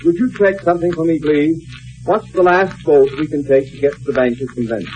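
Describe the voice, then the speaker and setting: A man's voice: fairly high pitch, a little fast, darkish tone, a little hiss or hum, lightly acted. A man playing a genial, slightly blustering businessman husband in 1950s radio comedy, with an American accent and emphatic delivery. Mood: brisk, matter-of-fact. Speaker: radio sitcom actor. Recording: dull old radio recording with studio audience.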